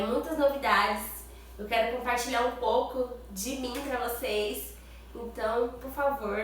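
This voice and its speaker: A woman's voice: a little high, a bit bright, slight background noise. A Brazilian woman vlogger with a nasal, stuffy voice from a cold, chatting casually to her viewers and often adding little tag questions. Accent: Brazilian Portuguese. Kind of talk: casual chat to camera. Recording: very echoey room, far from mic.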